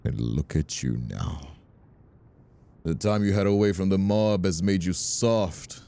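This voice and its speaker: Man acting a very deep, taunting mobster villain. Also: vocal fry, dark tone. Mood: bitter contempt. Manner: mocking, theatrical, drawn-out menace. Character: vicious, taunting male mob rival, bitter and sadistic. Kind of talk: audio roleplay drama acting.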